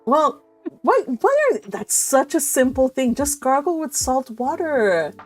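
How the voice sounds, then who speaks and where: A woman hosting a casual livestream, chatting in an animated, teasing way. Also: sits high, a little slow. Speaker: host of a live read-along stream. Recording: music behind the voice. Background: music.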